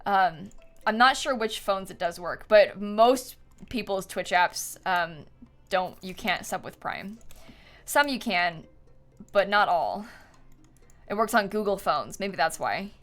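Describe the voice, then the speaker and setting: A young woman live-streaming a game, talking to her chat in an informal, giggly way. Her medium-pitched voice moves up and down in an animated way. Music plays behind her. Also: slow. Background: music, typing.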